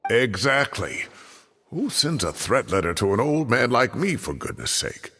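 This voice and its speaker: A man playing a genial, exasperated old retired scholar in a video game, gravelly and husky, theatrical and given to grumbling musings. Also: mid-range voice, big pitch swings, a little slow, fairly bright tone, big-room sound, slight background noise. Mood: indignant. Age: older.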